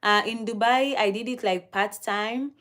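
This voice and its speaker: A Kenyan woman hosting a livestream, chatting casually and answering viewer comments in an unhurried, chatty way. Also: on the high side, fairly even intonation. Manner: unhurried, chatty, with fillers and soft laughs. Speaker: livestream host.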